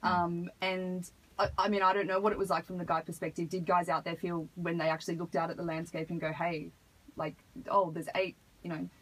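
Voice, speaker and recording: A woman answering as a radio interview guest, earnest and measured, picking her phrasing hesitantly as she explains. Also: lowish voice, little pitch movement, breathy edge, poor audio. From Australia. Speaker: hip hop artist, interview guest. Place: radio studio. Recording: noticeable background noise.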